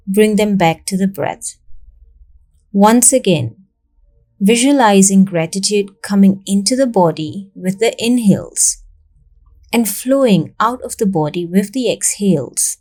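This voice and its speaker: Female meditation guide. Calm, soothing narration, slow delivery, warm dark tone, generous pauses. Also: medium pitch. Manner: calm, soothing, measured instruction with long pauses.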